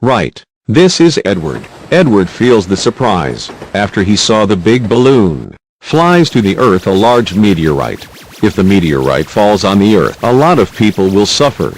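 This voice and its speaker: A man's voice: fairly low pitch, big pitch swings, a little slow, a little hiss or hum, rough recording. A man reading scripted narration for a children's quiz video, with simple prompts in non-native English phrasing.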